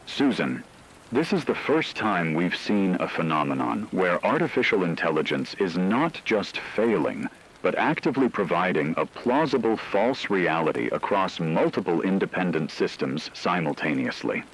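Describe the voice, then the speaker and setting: A man playing a grave radio news anchor, reading a scripted crisis bulletin in a measured, formal, serious way. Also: deep voice, sing-song, slightly rough, heavily acted, low-quality recording. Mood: grave, urgent.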